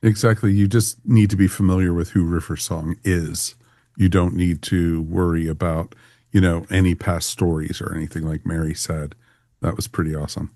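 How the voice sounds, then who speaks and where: A man with a deep voice moderates a casual podcast panel. The sound is dry, and he talks conversationally as the host. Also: flat delivery, moderate pace, mellow, no room sound.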